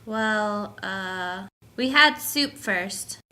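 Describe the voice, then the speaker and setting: A woman's voice: mid-range voice, lively intonation, very slow, pushed and bright, exaggerated voice. A woman playing a polite, innocent-seeming housewife and hostess in a genteel, dramatic 1950s radio style. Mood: shaken, hesitant. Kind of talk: 1950s radio mystery acting.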